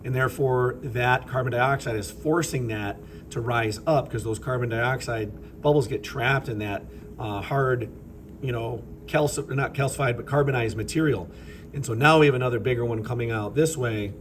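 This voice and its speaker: A slightly raspy man, a chemistry teacher, narrating a lab demonstration off camera in a relaxed, explanatory way with fillers. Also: medium pitch, moderate pace, noisy background. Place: school chemistry lab with vents. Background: ventilation fans running.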